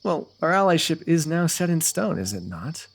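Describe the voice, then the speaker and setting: A man's voice: fairly high pitch, very animated, moderate pace, creaky, soft background music, exaggerated voice. A man playing a confident, teasing royal rival turned ally in a fantasy roleplay audio, speaking in formal, wordy, playfully goading phrases. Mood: wry, conversational.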